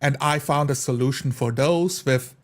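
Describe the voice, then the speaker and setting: A man's voice: mid-range voice, normal speed. A man talking casually in a group discussion as a tabletop role-playing player.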